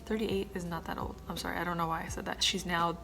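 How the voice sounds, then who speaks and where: A woman in her twenties chatting casually to camera as a vlogger, with quiet muttered asides and a slightly stuffed-up nose. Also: lowish voice, little pitch movement, fast, creaky, faint music behind. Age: 20s. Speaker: vlogger, former pageant contestant.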